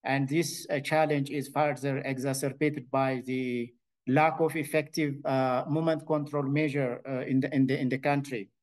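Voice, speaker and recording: A man from Ethiopia with an Ethiopian accent, giving a conference presentation on his research and pausing often with filled pauses and restarts. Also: mid-range voice, flat delivery.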